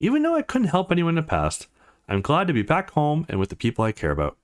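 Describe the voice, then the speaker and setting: A man's voice: a little high, huge pitch swings, fast talker, voice pushed up. A man voicing a conflicted, brooding young man, the protagonist of a visual novel, in inner monologue. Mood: brooding, questioning.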